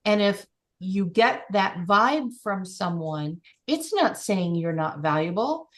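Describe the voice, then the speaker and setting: A woman's voice: mid-range voice, lively intonation, slow, fairly bright tone. A woman coach leading a group call, speaking in an encouraging, emphatic way.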